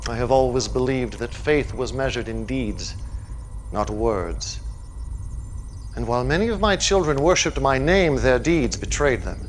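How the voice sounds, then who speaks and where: A man's voice: medium pitch, animated, a little slow, a little hiss or hum, lightly acted, poor audio. A man acting a charismatic, fatherly cult leader in a game cutscene, with theatrical, preacher-like delivery. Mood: solemn, fatherly.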